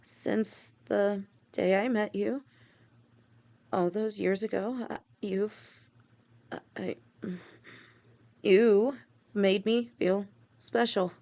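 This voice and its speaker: A woman voicing a devoted young lamia woman with a snake tail, speaking softly to her partner in an audio roleplay, halting and sometimes stammering. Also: medium pitch, steady tone. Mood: heartfelt. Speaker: girlfriend in a listener roleplay.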